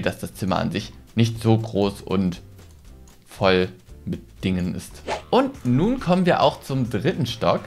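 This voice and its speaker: A man in his twenties giving casual, conversational playthrough commentary to viewers as a video host, chatting with frequent asides. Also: mid-range voice. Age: 20s. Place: small furnished room at home. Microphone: desk microphone close by. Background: background music.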